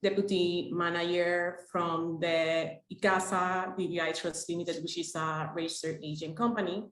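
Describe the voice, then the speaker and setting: A woman's voice: on the low side, fairly even intonation, moderate pace, a bit bright. A woman in her thirties, a deputy manager, answering interview questions with many fillers. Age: 30s. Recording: roomy echo.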